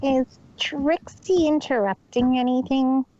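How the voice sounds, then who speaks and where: A man voicing a haughty female pony magician in a pompous falsetto, on a muffled home recording. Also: fairly even intonation, slow, dark tone. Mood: puzzled, haughty.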